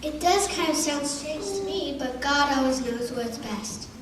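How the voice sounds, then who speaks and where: A woman's voice: high voice, lively intonation, unhurried. A woman playing simple storybook characters in an amateur puppet show, recorded in a very echoey room. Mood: trusting. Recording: very echoey room, distant, noisy.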